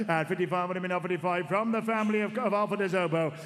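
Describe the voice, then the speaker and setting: A man's voice: high voice, fairly even intonation, rapid-fire, a bit bright. A man working as an auctioneer at a horse sale, calling bids in a rhythmic, repetitive chant and coaxing the room for more. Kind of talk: rapid bloodstock auction calling. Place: horse sales ring. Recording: long reverb of a sale ring.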